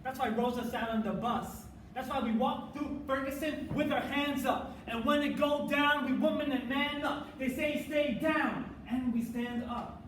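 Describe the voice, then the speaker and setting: A man's voice: very high-pitched, fairly even intonation, a bit bright. Young man in echoey live performance. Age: young adult. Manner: earnest, measured spoken-word delivery. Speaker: college forensics team performer. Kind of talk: reader's theater performance. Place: stage performance space. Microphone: distant room mic. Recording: echoey, distant, noisy live room.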